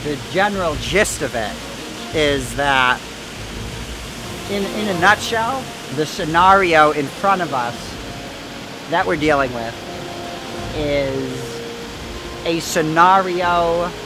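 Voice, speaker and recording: A man's voice: on the high side, lively intonation, takes it slowly, long pauses, background noise. A young man hosting an outdoor exploring vlog, joking and mock-dramatic as he rambles through the woods, recorded on a camera mic outdoors. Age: young adult. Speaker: host. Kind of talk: outdoor hiking vlog with voice-over narration. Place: wooded hillside outdoors.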